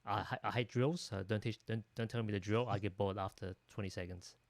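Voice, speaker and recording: A man chatting frankly on a panel, with halting restarts. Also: lowish voice, fairly even intonation, no echo. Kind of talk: casual panel chat.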